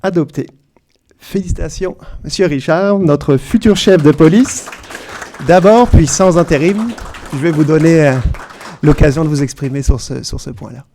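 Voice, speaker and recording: A man chairing a town council meeting, reading formal statements in a measured way. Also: fairly high pitch, warm tone, a little hiss or hum. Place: council chamber.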